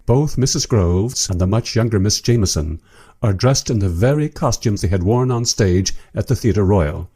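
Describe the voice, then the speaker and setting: Male, inquisitive, well-mannered narrator-interviewer for radio drama. Lively intonation, slightly rough husky tone. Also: lowish voice, moderate pace. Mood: polite, narrating.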